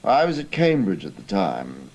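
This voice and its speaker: A man's voice: deep voice, huge pitch swings, normal speed, darkish tone, slightly rough, heavily acted. An actor playing an idealistic, indignant young Cambridge man in a radio drama, earnest and hesitant, with an English accent. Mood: reflective. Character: idealistic, indignant young British Cambridge student turned spy.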